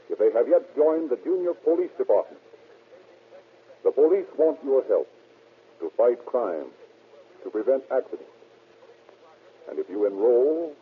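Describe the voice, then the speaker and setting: Husky low-pitched old-time radio announcer, man. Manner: formal, salesmanlike. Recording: muffled, narrow-band old radio recording.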